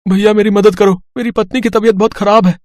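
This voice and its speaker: A man's voice: high voice, flat delivery, fast, a little mellow, dry recording, studio quality, natural voice. A man playing a wealthy traveller husband in a moral story, earnest and pleading in manner. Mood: pleading, distressed. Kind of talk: dramatised moral story acting.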